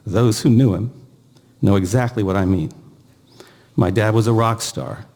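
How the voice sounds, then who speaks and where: Older male eulogist, low and dark-toned, halting delivery with pauses. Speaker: eulogist, brother of the deceased. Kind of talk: eulogy, partly read aloud.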